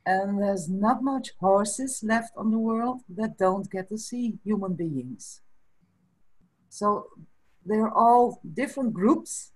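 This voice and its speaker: A Dutch woman in her fifties whose first language is Frisian, talking slowly and thoughtfully in a casual chat over a webcam from a small room. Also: mid-range voice, darkish tone, poor audio. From Netherlands. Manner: slow and thoughtful, breaks into small laughs. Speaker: guest. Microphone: video call webcam.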